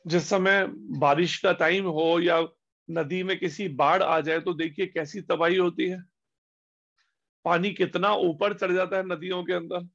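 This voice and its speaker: A man giving a devotional discourse as a spiritual teacher, slowing down on key words and asking rhetorical questions. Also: high voice, fairly even intonation, gravelly, fairly bright tone. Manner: slow, deliberate emphasis with pauses on key words. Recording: dull lo-fi recording.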